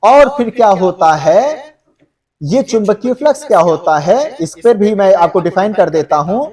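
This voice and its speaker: A man's voice: high-pitched, animated, normal speed, a little mellow, recorded from a distance. A man teaching exam students in an explanatory, conversational lecture, often checking that they follow. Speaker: exam-coaching geography teacher.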